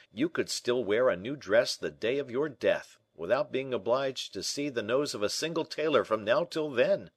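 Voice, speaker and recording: A man voicing a shrewd, brisk gentleman in an audiobook, animated, questioning and a little impatient. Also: medium pitch, no room sound, pitched above the performer's own voice, close to an everyday voice. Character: D'Artagnan, brisk, shrewd, exclaiming gentleman friend. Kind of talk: audiobook character acting.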